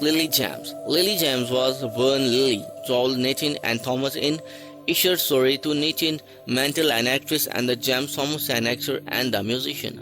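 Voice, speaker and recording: A man narrating a list video from a script, reading haltingly with stray articles and restarts. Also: mid-range voice. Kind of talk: read-aloud list narration.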